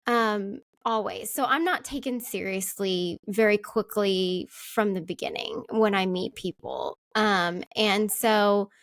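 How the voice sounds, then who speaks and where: A soft-spoken woman hosting a casual interview, chatty and full of "like" fillers. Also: medium pitch, slow, hardly any pauses, dry recording, clean studio sound. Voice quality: soft-spoken, not loud.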